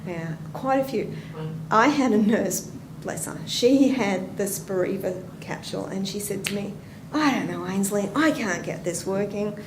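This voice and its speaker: A woman's voice: medium pitch, unhurried. Chatty Australian woman presenting in a hall. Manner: chatty, anecdotal, asks the audience questions. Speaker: nurse educator presenting. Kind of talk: conference presentation. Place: conference room. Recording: roomy hall with background noise.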